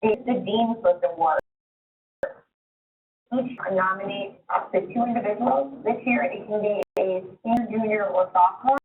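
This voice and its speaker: A woman's voice: mid-range voice, moderate pace, background noise. A woman presenting practical information informally on a conference call, explaining and advising in a conversational way. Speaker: conference call presenter, robotics team mentor. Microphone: phone conference call. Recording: muffled phone-line conference call, very distant.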